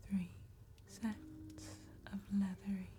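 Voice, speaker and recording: A woman playing an attentive, courteous vampire tattoo artist in a slow, breathy ASMR whisper with deliberate pauses. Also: mid-range voice, level tone, slight background noise, lightly acted. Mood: hushed, ominous. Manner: slow, deliberate, gentle phrasing with long pauses.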